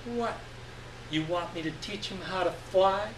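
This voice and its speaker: A furious mother acted out by a man reading a story, indignant and frantic. The voice is pushed up high and sounds roomy and off-mic. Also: a little slow, far from the mic, a crowd in the background. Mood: furious sarcasm. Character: furious, frantic mother of three boys, sarcastic and shouting.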